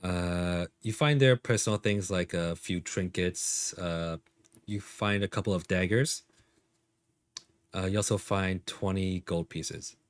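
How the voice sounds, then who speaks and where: Low-pitched man running a tabletop game. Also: unhurried, dry recording. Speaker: game master. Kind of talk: casual tabletop game narration.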